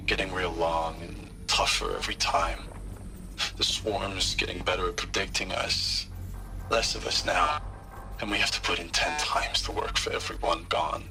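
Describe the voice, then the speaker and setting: An actor playing a tired, tender soldier recording a message home in a video game, soft and confiding. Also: male voice, fairly low pitch. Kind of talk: intimate video game monologue.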